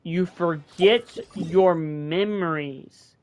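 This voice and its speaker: A man's voice: fairly high pitch, very slow, a little mellow, faint music behind. Man recapping a game, voice tiring. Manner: thinking aloud, recapping the story. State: voice starting to wear out. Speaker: gaming channel host. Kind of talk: casual gameplay commentary.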